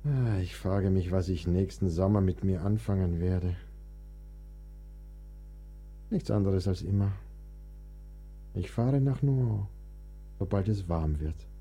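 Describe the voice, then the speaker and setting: An actor playing a touchy, indignant, melancholic composer in a historical radio play, theatrical and aggrieved. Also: male voice, low-pitched, a little slow, mellow, breathy edge, faint music behind, exaggerated voice. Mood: sardonic, musing. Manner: theatrical, aggrieved, swinging between outbursts and sulky asides. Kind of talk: radio play dialogue, dramatic monologue.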